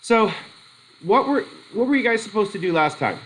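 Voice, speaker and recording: Slightly high-voiced man lecturing a class. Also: expressive, a bit bright, rough recording. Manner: halting, restarts sentences, questions the class. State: has a cold. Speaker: psychology methods teacher. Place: classroom with a whiteboard.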